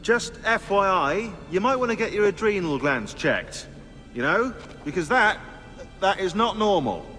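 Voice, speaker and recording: A sarcastic male historian in a video game, pedantic and bookish. His fairly high voice swings widely in pitch and rings in a long reverb. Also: sing-song, a little slow, bright, forceful tone, full character voice. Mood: mocking. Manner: sardonic, pedantic. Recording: long hall reverb, music underneath.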